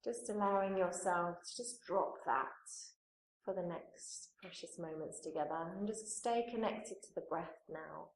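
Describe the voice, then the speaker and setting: A woman yoga teacher leading a guided meditation in a calm, soothing manner, with pauses between phrases. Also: lowish voice, a little hiss or hum. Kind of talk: guided meditation, gentle spoken instruction. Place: indoor room, live training course class. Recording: roomy echo, live class recording.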